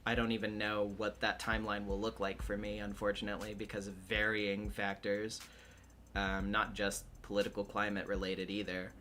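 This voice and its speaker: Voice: low-pitched, monotone, normal speed, a bit bright, slight background noise. A livestreamer and voice actor with a male-range voice, chatting casually in a hesitant, thinking-aloud way.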